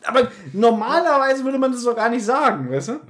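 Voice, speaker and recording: A man's voice: very high voice, animated, brisk, a bit bright. A man hosting a podcast in casual chat, retelling and critiquing as he goes in a bantering, teasing way. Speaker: podcast host.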